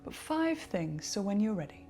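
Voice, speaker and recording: Soft-spoken woman guiding a meditation. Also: mid-range voice, very animated, faint music behind. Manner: slow, soft-spoken, partly whispered. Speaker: meditation guide. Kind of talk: guided relaxation.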